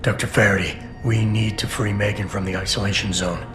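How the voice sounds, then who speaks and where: An actor playing a terse, stoic agent in his thirties in a video game, speaking in a clipped, deadpan, controlled way. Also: fairly low pitch, a little fast, close to an everyday voice. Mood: grim, measured. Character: terse, stoic cybernetically augmented male rescue agent, thirties. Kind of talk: video game dialogue acting. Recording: roomy echo, clear music behind.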